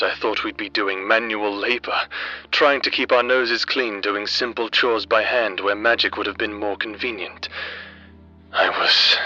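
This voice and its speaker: A man playing the secretive inner voice of a prisoner, narrating gravely in an audio drama. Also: on the low side, pushed and bright, dull audio, heavily acted.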